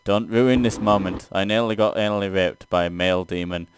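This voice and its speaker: A man's voice: lowish voice, fairly even intonation, a little fast, clean tone, no room sound. A man doing a let's play, reading a text game aloud and reacting casually.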